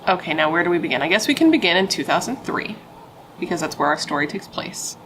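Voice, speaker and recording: A woman's voice: on the low side, sing-song, fast, fairly bright tone, a little hiss or hum, low-quality recording. A young adult woman, a pregnant true crime YouTuber, chatting playfully with dramatic asides and casual swearing.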